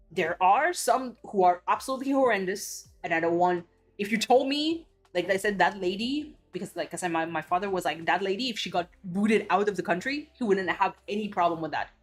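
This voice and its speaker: A woman from Belgium, a streamer chatting casually and ranting bluntly with cursing and many restarts. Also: medium pitch. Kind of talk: casual chat.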